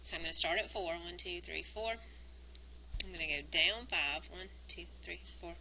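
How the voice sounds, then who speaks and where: A woman teacher recording a math lesson on a wired mic, explaining each step and counting points aloud, her voice worn and going hoarse. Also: lowish voice, moderate pace, sharp tone, a little hiss or hum, low-quality recording. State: losing her voice. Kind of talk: recorded math lesson, working through graphs. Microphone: wired microphone on a cord.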